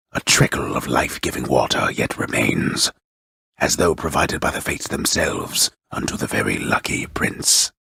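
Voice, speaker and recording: An actor playing a grandiose, wry storyteller in a fantasy video game, narrating in a solemn, measured, ornate manner with a gravelly, husky voice. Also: male voice, rumbling low, fairly bright tone, no room sound, full character voice. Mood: solemn, ominous. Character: grandiose, wry old-world male storyteller of the underworld. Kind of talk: dramatic scripted fantasy narration. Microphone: close studio microphone.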